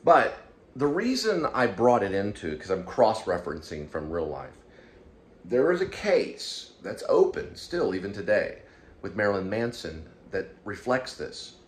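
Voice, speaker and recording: A man's voice: fairly low pitch, a little slow. A man giving casual solo commentary in a rambling, hesitant way, often circling back and repeating himself. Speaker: YouTube show host and amateur writer. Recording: steady background room noise.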